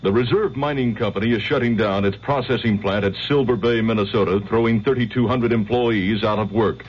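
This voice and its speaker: A man's voice: fairly low pitch, brisk. An American man reading a scripted radio newscast as the anchor. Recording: dull 1970s radio broadcast, faint hum.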